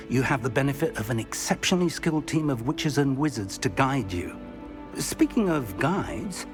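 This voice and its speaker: An actor playing a courteous older British wizard professor in a video game, speaking in a formal, measured, articulate way. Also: male voice, mid-range voice, lightly acted. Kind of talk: video game cutscene acting.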